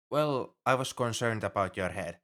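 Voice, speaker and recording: Anxious, low-voiced alien crewmate acted by a man. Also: a bit bright. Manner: fretful, formal, over-earnest, flustered. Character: anxious, paranoid male alien crewmate fussing over human. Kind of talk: ASMR roleplay audio drama.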